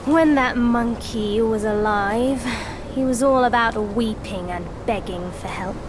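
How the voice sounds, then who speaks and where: A woman voicing a sly, gloating demon master in a video game cutscene, speaking in a slow, mocking, purring way. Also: a little high, close to an everyday voice. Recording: game cutscene with loud ambient noise.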